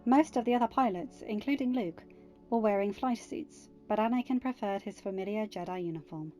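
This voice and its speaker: A woman narrates scripted fiction in a mid-pitched voice. Her tone is soft-edged and mellow. Faint music plays behind her reading. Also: brisk. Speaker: audiobook narrator.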